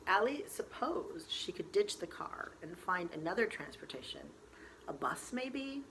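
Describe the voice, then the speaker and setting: Bright-voiced woman reading a novel, unhurried. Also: lowish voice, rough recording. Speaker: storyteller reading a novel aloud. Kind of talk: expressive scripted narration. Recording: faint background noise.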